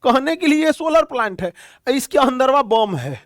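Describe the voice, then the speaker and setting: A male teacher with a notably high voice explains world events in a blunt, animated, folksy way. He leans on homely comparisons and repeats himself for emphasis. The recording is very dry and close. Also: normal speed, no room sound. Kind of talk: explanatory lecture on current affairs.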